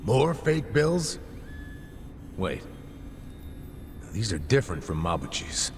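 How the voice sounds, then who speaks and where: A man's voice: fairly low pitch, very animated, takes it slowly, a little hiss or hum, heavily acted. A man acting a down-to-earth, inquisitive sidekick in an English video game dub, talking in a casual, plain-spoken way. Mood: surprised. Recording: loud music under the voice.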